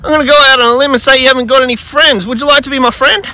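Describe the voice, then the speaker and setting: A man's voice: extremely high pitch, fairly even intonation, very fast, pushed and bright, voice pushed up, exaggerated voice. A man playing an awkward, sheepish reformed bad guy who rambles with lots of fillers. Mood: chirpy. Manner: rambling, hesitant, full of fillers.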